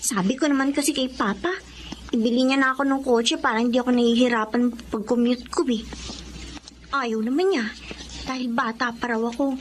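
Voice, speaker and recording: A woman acts out a headstrong Filipino schoolgirl in a radio drama. The voice is high and girlish, with faint noise behind it. She comes across as a carefree teenager. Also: steady tone, brisk, natural voice. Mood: grumbling, smug.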